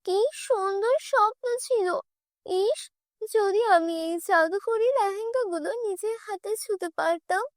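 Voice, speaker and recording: A woman's voice: very high voice, a little slow, no echo, studio quality, pitched above the performer's own voice. A woman voicing a meek, mistreated young stepdaughter in a cartoon, timid and earnest. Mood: wistful.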